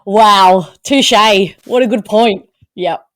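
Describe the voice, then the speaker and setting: A woman talks sport in a casual podcast chat, emphatic and lively, often doubling her words for effect. Her voice sits in an ordinary middle register. The sound is dry, with no room echo. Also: mid-range voice, slow pace. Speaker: podcast host.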